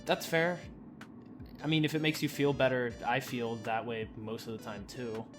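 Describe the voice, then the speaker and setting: A woman's voice: rumbling low, steady tone, moderate pace. A woman voicing an eager, insecure, boyish young man in an audio drama, earnest and a little hesitant. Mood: casual, sympathetic. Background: clear music.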